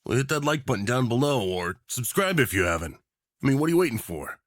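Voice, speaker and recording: A man's voice: mid-range voice, sing-song, fast talker, no echo, clean studio sound. A man delivering scripted narration and channel talk as the creator of an audio roleplay.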